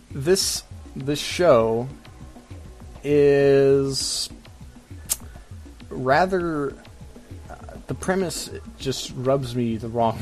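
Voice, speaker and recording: A man hosting a casual podcast, ranting in a halting, sarcastic way. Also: medium pitch. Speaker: podcast host.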